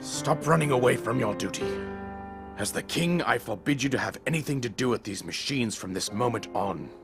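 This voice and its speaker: A man's voice: mid-range voice, lively intonation, moderate pace, music in the background, natural voice. An actor playing a stern older king and disapproving father in a dramatic game cutscene, formal, authoritative and scolding. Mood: angry, commanding.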